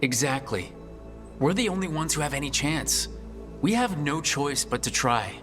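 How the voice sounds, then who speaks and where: An actor playing a determined, reassuring young hero in a video game, speaking in an earnest, measured way. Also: male voice, a little high, lively intonation, music in the background, lightly acted. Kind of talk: dramatic video game acting.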